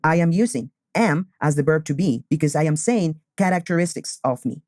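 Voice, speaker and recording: Slow, deliberate teacher. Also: male voice, fairly high pitch, a little mellow, no room sound, studio quality. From Colombia. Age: 20s. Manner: slow, deliberate, repeats key phrases. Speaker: English teacher. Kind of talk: beginner English lesson.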